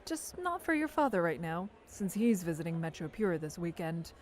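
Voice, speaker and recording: A woman's voice: fairly low pitch, big pitch swings, normal speed, slight background noise. A woman playing an affectionate, teasing adult family friend in an audio drama, chatty and breezy.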